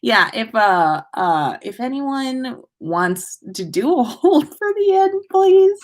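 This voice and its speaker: Slow-paced, very animated woman storyteller. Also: high-pitched. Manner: giggly, playful. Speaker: podcast host reading listener stories. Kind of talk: livestream story reading with casual chat.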